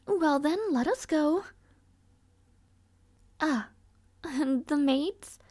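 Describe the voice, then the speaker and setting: Woman playing young princess, audio roleplay, arrogant, weary, formal, high-pitched, close dry recording. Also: no room sound, exaggerated voice. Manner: haughty, languid, sighing, formal wording. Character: rude, arrogant, somewhat shy young princess, haughty and weary. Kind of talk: scripted audio roleplay acting, addressing the listener.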